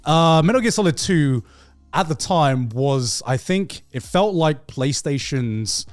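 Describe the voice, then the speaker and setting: A man chatting casually about video games as a podcast host, telling it in an animated, profane way with halting repeats. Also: on the high side, dry recording, faint music behind. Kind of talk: casual video game chat.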